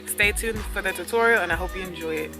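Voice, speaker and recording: A woman's voice: on the low side, fast, over music. A young woman chatting casually through a hair tutorial on her own channel, rambling with lots of ums and filler words. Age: young adult. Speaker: beauty vlogger.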